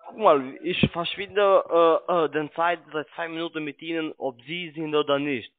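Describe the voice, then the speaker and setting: Over a phone line, a man who is not a native speaker makes a pushy, halting sales call in broken grammar. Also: on the high side, fairly even intonation. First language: not German. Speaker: cold-calling telemarketer. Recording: narrow tinny phone line.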